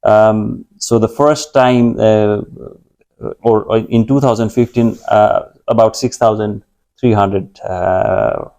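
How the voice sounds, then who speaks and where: A man's voice: on the low side, fairly even intonation, takes it slowly, gravelly, mellow. A man from Nepal in his thirties, a guest speaking in a conversational interview, hesitant with many fillers and restarts.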